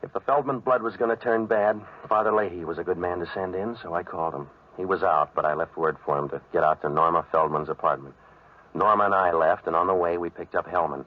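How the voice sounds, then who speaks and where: A hardboiled private eye with a low voice and rapid, clipped delivery. He is cynical and wisecracking, in the manner of 1940s radio noir, on a muffled, noisy old recording. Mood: dry, cynical. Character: hardboiled, world-weary male private investigator narrating his case.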